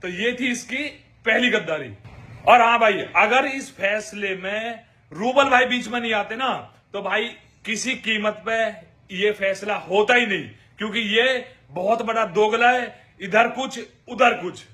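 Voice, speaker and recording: High, sharp-voiced man making indignant commentary. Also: measured pace. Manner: accusatory, indignant, crude slang. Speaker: commentator on an online feud. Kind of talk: informal spoken commentary addressed to viewers.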